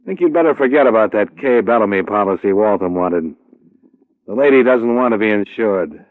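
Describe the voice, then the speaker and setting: Hard-boiled male investigator, played by an actor, American, low-pitched, wry and persistent, clipped 1950s radio detective delivery. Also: lively intonation, moderate pace, no room sound, narrow, tinny audio, lightly acted. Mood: businesslike.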